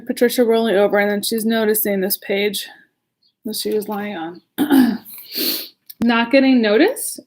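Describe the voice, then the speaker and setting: A woman's voice: medium pitch, a little mellow. A woman casually reading a children's story at home in a chatty, giggly manner, often breaking off to talk to her listeners.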